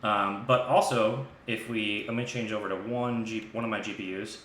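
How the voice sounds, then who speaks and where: A man hosting a live software tutorial, talking informally and thinking aloud as he demonstrates on screen. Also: on the low side, fairly even intonation, moderate pace. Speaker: live stream host and software instructor. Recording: echoey room with faint background noise.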